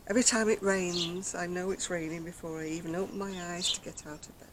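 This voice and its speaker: An older woman talks casually to her viewers in a very soft, quiet voice that she cannot raise, rambling and gently poking fun at herself. She is outdoors on a decking with a camera close in front of her. Loud outdoor noise runs behind her voice the whole time. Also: fairly low pitch. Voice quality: very soft, quiet voice after throat surgery. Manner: chatty, rambling, self-mocking, laughs softly. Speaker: craft vlogger. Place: outdoors on a decking by a brick wall. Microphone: camera in front at close range.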